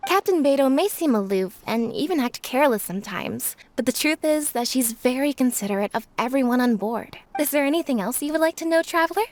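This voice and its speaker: A woman voicing a polite, earnest ship's navigator in a video game, measured and courteous. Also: high voice, animated. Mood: polite, admiring. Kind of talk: video game character acting.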